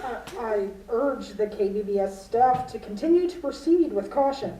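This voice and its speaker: Woman acting a stern, high-voiced police chief. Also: lively intonation, mellow, close to an everyday voice. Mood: stern, official. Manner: formal, official, measured. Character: stern female police chief negotiating by telephone. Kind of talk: student radio play acting. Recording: echoey room, far from mic.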